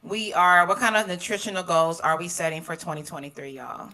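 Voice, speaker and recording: Drawling woman host with a bright tone. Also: fairly low pitch, a little fast. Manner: drawling, says y'all. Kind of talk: casual chat.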